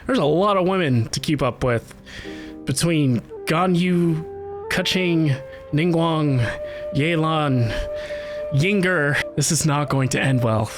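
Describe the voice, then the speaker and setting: A woman gives casual live commentary as she streams a game. Her delivery is wry and full of muttered asides. Game music is clearly audible behind her. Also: low voice, slow pace.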